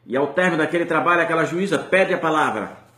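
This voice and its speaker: A man's voice: on the high side, fast, bright, forceful tone, roomy sound. A man with a Brazilian Portuguese accent preaching a sermon with impassioned, rising and falling delivery.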